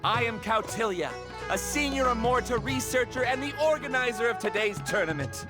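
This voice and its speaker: An actor playing a confident, showy scholar who hosts a tournament in a video game, with formal, grandiose delivery. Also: male voice, very high-pitched, animated, moderate pace. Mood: welcoming, formal. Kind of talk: video game character acting, ceremonial speech. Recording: music playing behind the voice. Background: game music.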